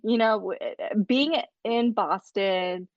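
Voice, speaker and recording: A woman's voice: medium pitch, takes it slowly, no echo. A woman with a mid-range voice chats as a podcast guest and tells stories, laughing often. The sound is dry and a little dull, as if from a modest mic. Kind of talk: casual chat.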